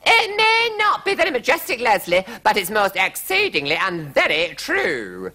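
A man voicing a fussy, highly strung storybook queen, imperious and melodramatic in her declaiming. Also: wildly swooping pitch, normal speed, bright, forceful tone, heavily acted. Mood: gasping, insistent. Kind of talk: children's story character voice.

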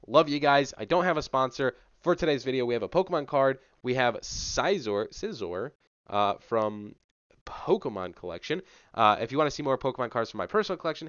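A man's voice: mid-range voice, a bit bright, clear, resonant tone, dry recording, highs cut off. A young man hosting a casual rundown to camera, chatty and enthusiastic, a little sick, talking into a headset mic in a small home room. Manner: chatty, filler-heavy, enthusiastic. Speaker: channel host.

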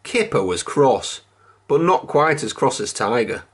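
A reader telling a children's picture book aloud in a measured, expressive storytelling manner. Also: medium pitch, slightly rough.

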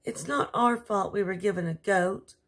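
A woman's voice: mid-range voice, normal speed, fairly bright tone, breathy edge, voice pushed up. A woman voicing a particular, commanding storybook queen in a prim, dramatic manner. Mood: softening.

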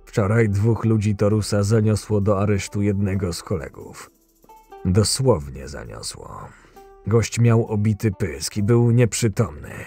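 A man's voice: lowish voice, measured pace, vocal fry, warm tone, soft background music. A man playing a proud, short-tempered, cynical camp enforcer who narrates his own tale in a fantasy audio drama, sardonic and menacing. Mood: sardonic, brooding.

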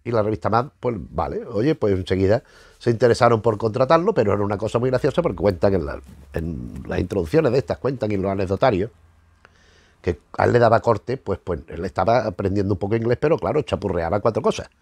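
A man's voice: lowish voice, expressive, fast, a little mellow, husky, no echo. A middle-aged man with a Spain Spanish accent, explaining informally to camera in a rambling, conversational way, often circling back to rephrase. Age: middle-aged, 50s. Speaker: host of a retro comics channel. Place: small indoor room lined with bookshelves.

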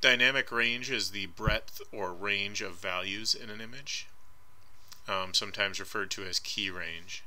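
Bright-toned man lecturing over slides. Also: fairly low pitch, a little slow, poor audio. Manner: casual and hedging, frequent ums. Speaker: art instructor. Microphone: voice-over recorded over slides.

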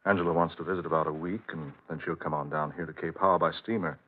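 A man's voice: a bass voice, fairly even intonation, fast talker. An actor playing a hearty, bluff family man visiting an old friend, chummy and sputtering, in 1940s radio drama style. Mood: chatty, bluff. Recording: tinny, noisy old radio recording.

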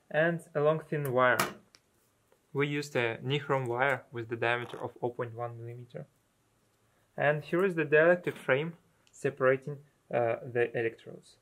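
A man presents a technical explanation in a matter-of-fact way. His voice sits at a medium pitch. He speaks as a researcher walking through how a device is built and works.

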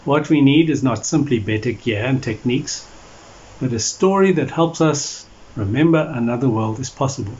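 Male pastor, 40s, mid-pitched voice, measured preaching with emphatic phrases, slightly muffled audio. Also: lively intonation, darkish tone. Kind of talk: sermon to camera. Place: small indoor room at home or office. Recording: dull lo-fi audio with background noise.

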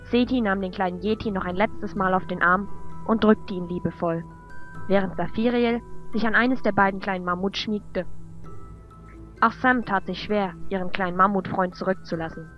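A woman narrates a fantasy radio play from a script. Her voice sits at a medium pitch. The recording is a bit dull, with music underneath. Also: moderate pace.

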